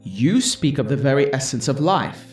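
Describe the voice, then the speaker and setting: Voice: medium pitch, animated, natural voice. An actor playing a huge, ancient female dragon, speaking formally and with stern caution in an audio story. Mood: grave warning. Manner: slow, deliberate, formal, admonishing. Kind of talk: scripted audio story acting. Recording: echoing voice over music.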